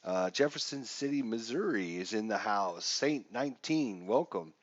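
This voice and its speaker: A lower-voiced man with a stuffy, congested nose, chatting casually and filling pauses as he walks through a game setup. The audio is dry and a bit dull, like a lo-fi stream mic. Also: lively intonation, no echo. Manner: casual, chatty with frequent fillers. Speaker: live stream host. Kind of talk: live wargame walkthrough.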